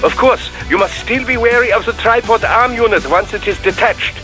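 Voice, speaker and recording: An actor playing an eccentric, fussy scientist in a video game, with a precise, formal, fascinated way of explaining. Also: male voice, high voice, moderate pace, sharp tone, faint music behind. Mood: analytical, cautioning. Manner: precise, formal, admiring lecture. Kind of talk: video game radio dialogue acting.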